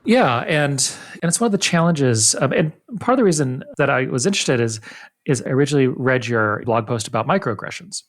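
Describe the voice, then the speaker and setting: A man hosting an interview podcast, his voice leaping upward when he stresses a point and then dropping back down, quick on his asides. He speaks at a middle pitch. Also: expressive. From United States. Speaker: podcast host. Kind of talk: casual interview.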